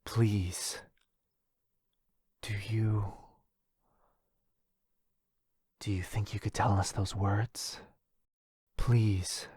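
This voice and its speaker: A man's voice: on the low side, steady tone, very slow, darkish tone, breathy edge, dry recording, studio quality, heavily acted. A man playing a lovestruck, possessive, centuries-old vampire brother in a boyfriend roleplay, tender and pleading. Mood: anxious pleading.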